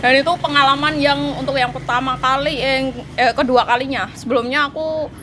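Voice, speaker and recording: A woman tells her story in an easygoing chat, animated and quick to laugh. Her voice sits a little high. Steady background noise runs under her voice. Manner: animated, laughs often while telling. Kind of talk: casual chat. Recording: noisy background.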